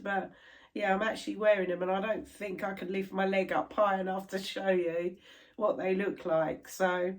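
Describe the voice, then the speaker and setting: A middle-aged British woman chatting casually to camera about her crafts, sounding stuffy and a little croaky from a chest infection. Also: medium pitch. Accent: British English. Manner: chatty, rambling, laughs easily. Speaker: cross stitch vlogger.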